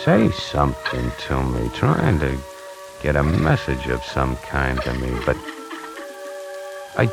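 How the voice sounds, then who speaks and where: A man's voice: very deep voice, sing-song, slow pace, gravelly, long pauses, heavily acted, low-quality recording. An actor playing a streetwise salesman, narrating in a colloquial, wry way in 1940s radio acting. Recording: vintage 1940s radio broadcast with music.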